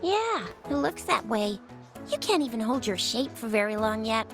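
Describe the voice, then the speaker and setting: A sassy young woman, very high-pitched and animated. She teases with wry, casual energy and exaggerated swings of tone, as in an anime game. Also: normal speed, over music. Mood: teasing. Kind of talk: anime-style video game voice acting.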